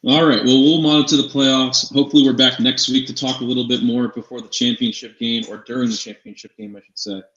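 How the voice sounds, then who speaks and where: A man's voice: medium pitch, level tone, fast talker, few pauses, a bit bright. A man co-hosting a fantasy sports show in casual, informal chat, often repeating phrases for emphasis. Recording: slightly dull, echoey room sound.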